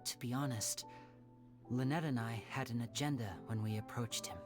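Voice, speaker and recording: Man playing a soft-spoken, melancholy young stage magician. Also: medium pitch, fairly even intonation, natural voice. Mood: reflective. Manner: soft-spoken, reflective, measured theatrical delivery. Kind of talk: scripted dramatic game dialogue. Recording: music playing under the voice.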